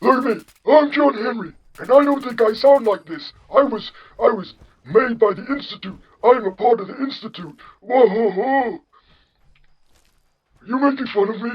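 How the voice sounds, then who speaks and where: A man's voice: very high voice, normal speed, slightly rough, lo-fi sound, pitched above the performer's own voice. A man voicing a cranky, indignant old synth with a blustering, exaggerated generic old man voice in a comedy skit. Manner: blustering, repetitive, scolding.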